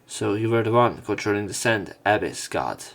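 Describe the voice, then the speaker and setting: Male let's player, low register, reading game dialogue and narration aloud with informal, slangy commentary. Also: expressive, normal speed, creaky, a bit bright. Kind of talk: reading visual novel text aloud with casual commentary.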